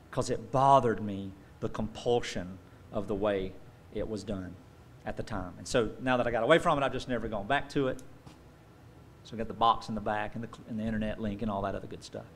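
A man in his forties preaches into a stand mic, lively and expressive, his pitch leaping as he acts out the people in his stories. There is a faint hiss behind him. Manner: animated storytelling, acts out quoted voices. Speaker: pastor. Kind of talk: sermon. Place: church stage with dark curtain. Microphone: stand mic at lectern.

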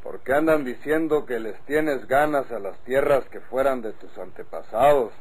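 Raspy, outspoken Mexican countryman played by a man. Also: mid-range voice, moderate pace, lightly acted, poor audio. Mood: cautioning. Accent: northern Mexican. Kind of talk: old-time radio drama acting.

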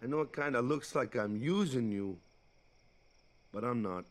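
An actor playing an easygoing, big-brotherly young island ballplayer in a video game, talking in a casual, folksy way. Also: male voice, medium pitch, expressive, normal speed, close to an everyday voice. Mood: subdued, confiding. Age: young adult. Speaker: guardian and older friend. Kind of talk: video game cutscene acting.

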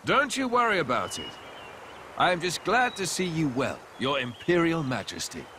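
An easygoing young swordsman prince from a game, courteous and earnest. The voice is high and bright with hugely varied pitch. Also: wildly swooping pitch, normal speed, a little hiss or hum, full character voice. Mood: courteous, relaxed. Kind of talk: video game cutscene acting.

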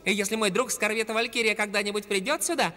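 A woman voicing a small, excitable mouse boy sidekick in a dubbed cartoon, high and eager. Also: fast, big-room sound, lightly acted.

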